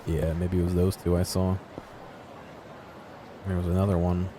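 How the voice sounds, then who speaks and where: A low-pitched man gives off-the-cuff commentary while exploring a video game. He thinks out loud in a casual, wondering way. There is some background noise of street-like ambience behind his voice. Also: monotone, moderate pace. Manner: musing, thinking aloud. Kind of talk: casual unscripted gameplay commentary.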